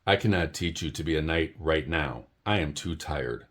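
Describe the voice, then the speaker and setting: A man's voice: very deep voice, normal speed, a bit bright, slight background noise. A man voicing a tired, dignified male owl knight in a storybook read-aloud, speaking in a weary, stiff and formal way.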